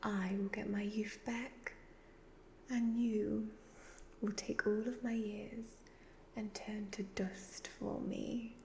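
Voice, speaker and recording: Woman doing an evil seductive witch for asmr, half whispering, creaky n really slow, gloating. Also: mid-range voice, level tone, darkish tone. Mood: menacing, seductive. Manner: slow, deliberate, hypnotic, condescending. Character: evil ageless witch, youthful-looking, gloating, seductive, predatory. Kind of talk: soft-spoken ASMR roleplay. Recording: faint background noise.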